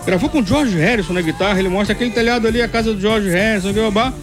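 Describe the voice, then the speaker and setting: A man's voice: high voice, very fast. A man hosting a radio show, chatting casually and telling stories with slang. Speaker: radio host. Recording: music bed under radio talk.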